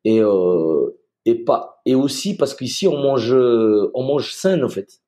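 Relaxed man from Madagascar, mellow voice. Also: medium pitch, slow pace. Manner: relaxed, easygoing, repeats words as he starts. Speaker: guest, retired soldier. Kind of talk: casual interview chat. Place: home room, video call.

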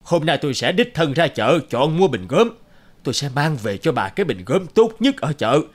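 An actor playing a pompous, stubborn, self-important rich village man in an animated folktale. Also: on the high side, normal speed. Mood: boastful, impatient.

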